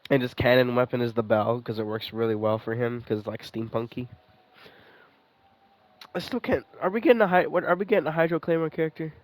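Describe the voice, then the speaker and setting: A man's voice: medium pitch, brisk, no room sound. A man doing casual, rambling gameplay commentary, thinking aloud and swearing now and then. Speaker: gaming streamer playing and commenting. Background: game music.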